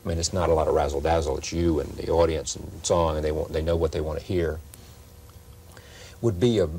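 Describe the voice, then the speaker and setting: A man's voice: very deep voice, steady tone, brisk, dark tone, a little hiss or hum. A middle-aged man hosting a broadcast interview, probing and rephrasing his questions at length, on a lapel mic in a studio. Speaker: talk show host. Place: television studio set.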